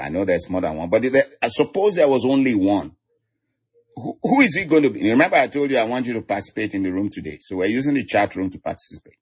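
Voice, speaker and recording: Husky Nigerian preacher, chuckling often. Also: male voice, lowish voice, darkish tone. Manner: chuckles often, repeats declarations. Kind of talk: online sermon.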